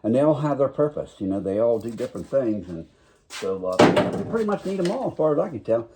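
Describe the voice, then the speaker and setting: A man talking quietly and casually to camera while he works on a car, mumbling as he goes. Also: medium pitch, very animated, fast talker, very noisy. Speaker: car restoration hobbyist. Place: home garage workshop.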